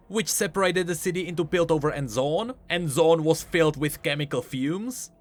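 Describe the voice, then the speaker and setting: Animated man explaining game lore. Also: a little high. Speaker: video game lore commentator. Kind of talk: scripted explanatory commentary.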